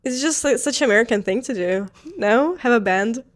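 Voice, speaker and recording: A woman's voice: on the high side, a little fast. Young ukrainian woman chatting with her fiance, says like a lot, english isn't her first language. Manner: chatty, lots of "like" fillers. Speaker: vlogger. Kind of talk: casual couple chat.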